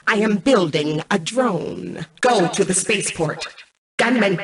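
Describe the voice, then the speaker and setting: A woman voicing a cold, arrogant mainframe intelligence in a video game, speaking in a formal, measured, commanding way. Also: mid-range voice, expressive, slightly rough. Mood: cool, commanding. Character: cold, arrogant female mainframe artificial intelligence, calculating and imperious. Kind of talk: scripted video game voice acting.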